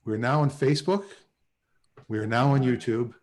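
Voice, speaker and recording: Male host, forties, medium-pitched, casual introductions, video call livestream. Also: moderate pace, a little mellow. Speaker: host and writer.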